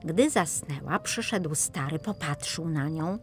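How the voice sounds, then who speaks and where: A woman narrates an audiobook fairy tale for children. Her voice sits a little low, with soft music playing behind it. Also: lively intonation, measured pace.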